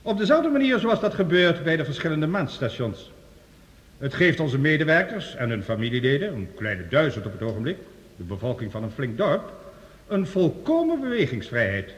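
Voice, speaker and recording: A man's voice: fairly high pitch, big pitch swings, moderate pace. An actor playing an earnest male scientist, giving a formal, precise lecture in a science fiction radio play. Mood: earnest, explanatory. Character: male scientist reporting Mars findings, earnest and authoritative. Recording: reverberant hall, radio drama production.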